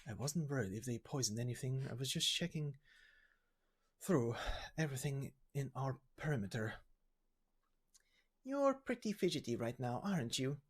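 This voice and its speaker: A man's voice: medium pitch, sing-song, normal speed, long pauses, husky. A man voicing a wary, street-smart fighter from a game story, speaking in a blunt, clipped, matter-of-fact manner. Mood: guarded, dry. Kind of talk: video game story voice acting.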